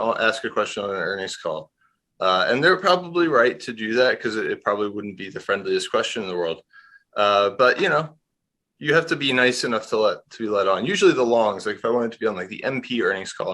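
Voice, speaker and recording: A man's voice: fairly low pitch, sharp tone. A man working as an industrials analyst, giving conversational market commentary in a rambling, candid way with dry asides.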